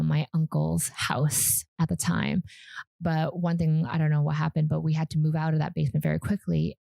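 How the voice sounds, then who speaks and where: Casting: low, gravelly woman guest, talkative, dry close sound. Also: dark tone, dry recording. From Canada. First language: Vietnamese. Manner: chatty, laughs often, many fillers. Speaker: guest founder. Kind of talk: casual podcast interview.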